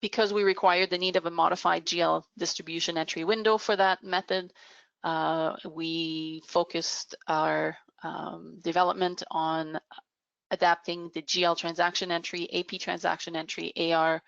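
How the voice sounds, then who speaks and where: A woman with a fairly low voice and plenty of vocal fry walks through a business software demo. She explains in measured phrases, pausing hesitantly as she goes. The recording is somewhat dull and lo-fi. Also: little pitch movement, dry recording. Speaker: software product demonstrator. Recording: dull, muffled lo-fi audio.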